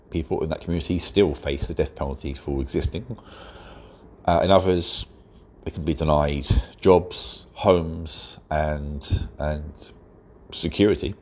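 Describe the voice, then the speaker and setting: A man hosting his own podcast, congested and stuffy-nosed from hay fever, talking in a wry, measured way and a bit slowly. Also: low voice, a little mellow. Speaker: podcast host. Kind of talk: solo podcast commentary.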